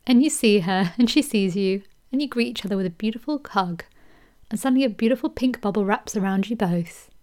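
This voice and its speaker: Brief: woman, meditation guide, mellow tone, gentle and slow, drifts into whispers. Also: medium pitch. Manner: slow soothing delivery with soft whispered phrases.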